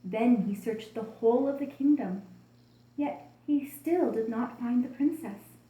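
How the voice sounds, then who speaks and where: Female children's storyteller, soft warm tone, expressive deliberate reading, echoey room sound. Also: fairly high pitch. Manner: expressive, deliberate storytelling addressed to young listeners.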